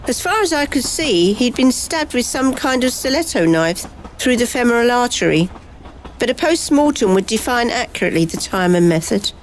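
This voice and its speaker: Casting: a woman as brisk 1930s lady doctor for radio mystery, animated delivery, noticeably noisy recording. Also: fairly high pitch. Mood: clinical. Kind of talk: amateur radio play acting. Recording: clearly noisy amateur recording.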